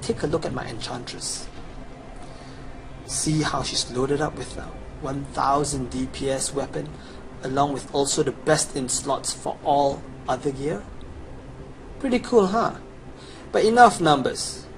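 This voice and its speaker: A man's voice: medium pitch, unhurried. A young adult man giving a scripted promotional voice-over as a gamer presenting his guide. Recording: clearly noisy home recording.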